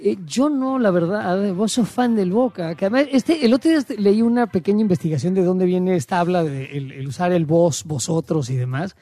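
A Mexican man with a notably high voice co-hosts a loose, joking chat full of slang. The sound is close and dry, with faint music underneath. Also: brisk, hardly any pauses, dark tone, dry recording. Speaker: co-host. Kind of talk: casual chat.

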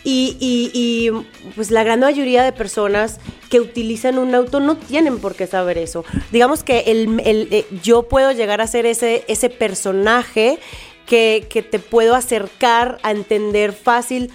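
A woman from Medellín speaks with a Colombian accent and a slightly high voice. She explains things in a lively, casual way, with frequent fillers. Faint music plays in the background. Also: unhurried. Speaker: car tester, guest. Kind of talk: casual interview chat.

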